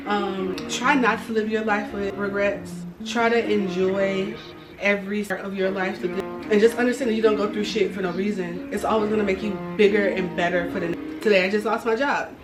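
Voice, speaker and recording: A woman's voice: mid-range voice, fairly even intonation, brisk, far from the mic. Woman in her twenties, sassy, blunt, cursing, roomy sound, mic set back, music behind. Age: 20s. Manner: blunt, sassy, swears freely. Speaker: vlogger. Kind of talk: candid confessional vlog.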